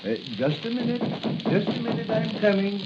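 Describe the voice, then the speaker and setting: An actor playing a kindly, hesitant, fussing doctor in a 1940s radio drama. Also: male voice, high-pitched, big pitch swings, normal speed, over loud music. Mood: kindly, flustered. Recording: hissy, muffled 1940 radio recording.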